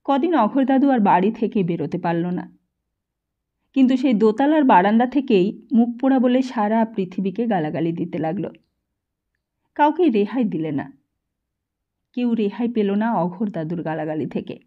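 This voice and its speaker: A woman's voice: medium pitch, lively intonation, moderate pace, long pauses, narrow, tinny audio. A woman narrator reading a literary story as a scripted audiobook.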